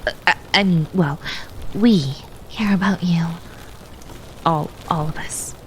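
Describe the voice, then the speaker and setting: A woman's voice: on the low side, takes it slowly, slight background noise, poor audio. A woman playing a prim, caring twin maid in an ASMR roleplay, often whispering, with formal wording and a teasing, easily flustered temper. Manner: soft, formal wording, stammers when embarrassed.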